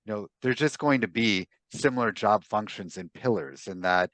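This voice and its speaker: A man hosting a podcast interview, talking conversationally in a measured way and summing up points in lists. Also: on the low side, fairly bright tone, no echo. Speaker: podcast host.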